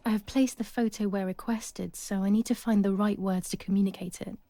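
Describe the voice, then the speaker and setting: An actress playing a young woman in wartime, pensive and uneasy, quietly thinking aloud in a first-person game monologue. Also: mid-range voice, fairly even intonation, brisk, no echo, natural voice.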